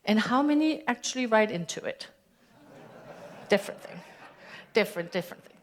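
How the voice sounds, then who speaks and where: A woman's voice: on the high side, animated, moderate pace. A woman minister with a German accent, giving a conversational sermon and putting questions to her congregation. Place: church sanctuary.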